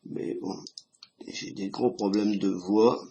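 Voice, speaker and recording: A hoarse man talks casually in a halting way, with many restarts, while he explains a tarot card reading to his camera. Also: fairly low pitch, steady tone, warm tone, a little hiss or hum. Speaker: tarot reader. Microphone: camera he set up himself.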